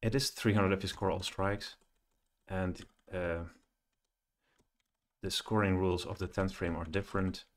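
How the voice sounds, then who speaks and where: A middle-aged man thinking aloud as he presents a coding screencast, halting and muttering to himself at a computer. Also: low voice, little pitch movement, a little hiss or hum. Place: small room indoors at home, at a computer. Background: keyboard typing and clicking.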